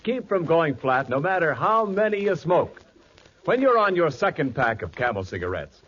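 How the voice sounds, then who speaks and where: A man reading a 1940s radio advertisement as announcer, in a polished, emphatic sales delivery. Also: fairly high pitch. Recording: muffled old radio broadcast.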